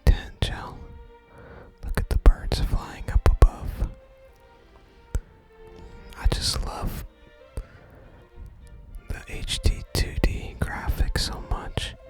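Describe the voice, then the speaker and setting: The voice is an entirely whispered, breathy ASMR delivery. It reads fantasy game dialogue in a gentle, deliberate way and slips into casual player commentary. Music is clearly audible behind the whisper. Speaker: ASMR gaming content creator.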